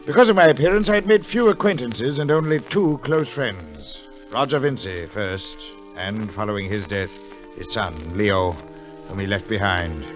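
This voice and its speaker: An actor playing a middle-aged English professor of archaeology in a 1940s radio drama, bookish and eager, with a scholarly, avuncular manner. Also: male voice, lowish voice, animated, moderate pace, close to an everyday voice. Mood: reflective, ominous. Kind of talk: 1940s radio drama acting, first-person narration and dialogue. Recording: muffled vintage radio recording, music behind.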